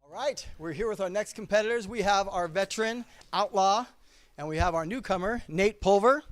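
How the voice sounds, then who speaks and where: A man's voice: sits high, fairly quick, slight background noise. A man hosting ringside, interviewing fighters in a casual, upbeat and joking way. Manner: upbeat, joking, laughs often. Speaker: ringside host and referee.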